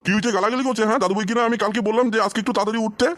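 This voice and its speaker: Old cartoon grandpa played by a man, bossy yet indulgent, very quick, repetitive, dry sound. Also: sits high, steady tone, fairly bright tone, no room sound. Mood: scolding, inquiring. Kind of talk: amateur comic cartoon dub.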